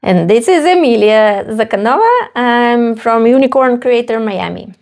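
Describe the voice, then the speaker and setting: A woman in her thirties from Ukraine, speaking English with a Ukrainian accent, telling her story with many pauses and fillers as a guest. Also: fairly high pitch, expressive, darkish tone. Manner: halting non-native English with many fillers. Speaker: face painter, guest. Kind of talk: casual interview.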